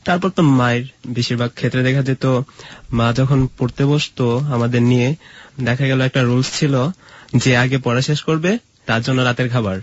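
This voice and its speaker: A man's voice: medium pitch, fairly even intonation, normal speed, dull audio, a little hiss or hum. A man from Mymensingh in Bangladesh, an interview guest telling personal stories in a conversational way. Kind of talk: personal storytelling in casual conversation.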